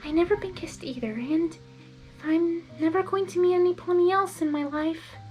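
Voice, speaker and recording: Casting: timid young girl pony, played by a woman reader, very high and soft, hesitant, storybook dialogue over music. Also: normal speed. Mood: shy, nervous. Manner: hesitant, trailing, gentle. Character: shy, soft-spoken young female pony, timid and yielding. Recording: background music under the voice.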